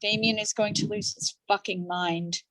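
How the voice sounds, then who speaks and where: A woman's voice: mid-range voice, fairly even intonation, moderate pace, fairly bright tone, slight background noise, lightly acted, poor audio. A woman in a staged play reading, playing a blunt, indignant and wounded filmmaker with an accusing, profane manner. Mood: angry, accusing.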